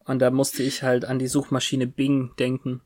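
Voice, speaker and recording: Podcast co-host, casual TV chat, halting spontaneous delivery, medium pitch. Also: monotone, darkish tone. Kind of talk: casual chat about a TV series.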